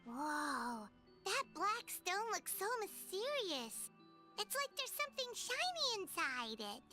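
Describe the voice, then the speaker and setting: A woman voicing a tiny, chatty childlike fairy guide in a video game, squeaky, chirpy and inquisitive. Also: sing-song, takes it slowly, pushed and bright, full character voice. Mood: awed. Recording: music behind the voice.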